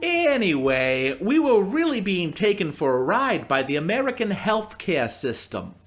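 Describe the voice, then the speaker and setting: A man's voice: high voice, sing-song, measured pace, faint music behind. An actor playing a dry male radio co-host who deflates his partner's boasts. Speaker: co-host of a radio comedy sketch. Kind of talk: live radio comedy acting.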